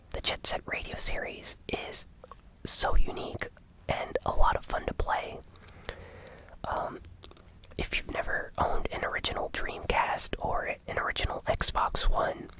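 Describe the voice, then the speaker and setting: A host giving ASMR gaming news commentary in a breathy, unvoiced whisper, soft, unhurried and informal. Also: low-quality recording.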